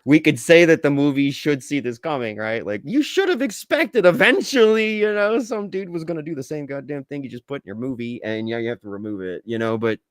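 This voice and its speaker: A man's voice: on the high side, big pitch swings, brisk, hardly any pauses, no echo, clean studio sound. A man talking casually as a podcast co-host, slangy and emphatic, with some swearing. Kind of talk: casual movie review chat.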